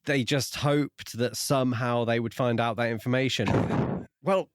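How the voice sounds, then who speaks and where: A man's voice: medium pitch, fairly even intonation, a little slow, no echo, clean studio sound. A man co-hosting a book podcast, discussing his views in a casual, conversational way. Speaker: podcast co-host reviewing books.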